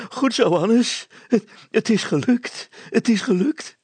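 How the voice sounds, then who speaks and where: A man voicing an excitable middle-aged inventor and father in a story, anxious and overjoyed, stammering and repeating himself. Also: very high voice, slow, slightly rough, dry recording, pitched above the performer's own voice, heavily acted. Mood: overjoyed, excited.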